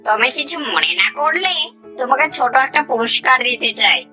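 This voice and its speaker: Lady doing a nice thankful cartoon fox, kinda high and bright, music behind. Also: big pitch swings, a little fast. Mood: grateful. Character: grateful, friendly fox. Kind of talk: Bengali moral-story cartoon voice acting.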